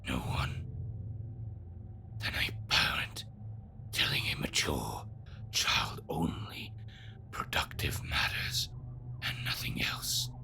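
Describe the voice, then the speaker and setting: An actor playing a bitter, courtly schemer in an audio drama, speaking theatrically in a gravelly half-whisper with formal, archaic wording. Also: extremely low pitch, sing-song, takes it slowly, pauses often, sharp tone, exaggerated voice. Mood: brooding, bitter. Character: bitter, lamenting, courtly schemer bound by a bargain. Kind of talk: dramatic scripted monologue.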